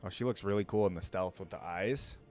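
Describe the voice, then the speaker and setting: A man's voice: low-pitched, fairly quick. A young man hosting a reaction video, talking casually and curiously as he comments along. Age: young adult. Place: indoor room at a desk, gaming chair. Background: faint music and game audio.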